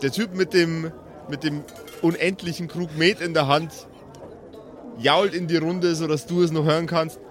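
A man narrating an improvised pen-and-paper story as game master, measured, with dramatic pauses. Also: fairly high pitch, fairly even intonation.

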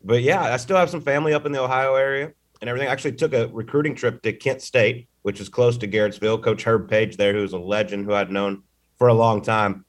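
A man's voice: medium pitch, fast. A man in his thirties with a Texas accent, telling stories in an easygoing, casual way as an interview guest. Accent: Texan. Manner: easygoing storyteller with fillers. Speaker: golf broadcaster, podcast guest.

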